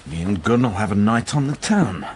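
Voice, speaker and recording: An actor playing a blunt, irreverent police detective with a dry, sardonic manner in a radio drama. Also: male voice, lowish voice, big pitch swings, moderate pace, a little hiss or hum. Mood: wry. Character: blunt, irreverent male police colleague, gun enthusiast.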